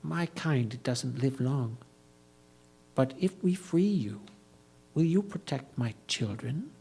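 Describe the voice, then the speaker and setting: A man voicing a tiny mouse in a story, soft and small, cautious and self-possessed as it bargains. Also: mid-range voice, expressive, slow, gravelly, breathy edge, heavily acted, low-quality recording. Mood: cautious, bargaining.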